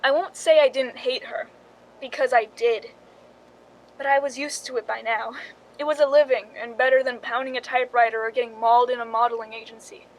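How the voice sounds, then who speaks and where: A woman's voice: fairly even intonation, a little fast, natural voice. A woman playing a cool, calculating young Hollywood stand-in who tells her story drily in a suspense radio drama. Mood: wry, reflective. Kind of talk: 1940s-style suspense radio drama acting. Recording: noisy recording with low rumble.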